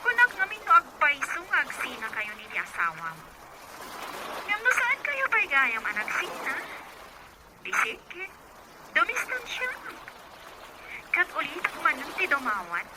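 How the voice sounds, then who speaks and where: A woman's voice: high-pitched, wildly swooping pitch, unhurried, pauses often, very noisy, heavily acted. A woman playing a brazen, taunting mistress in an Ilocano radio drama, defiant and defensive. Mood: defensive, apologetic.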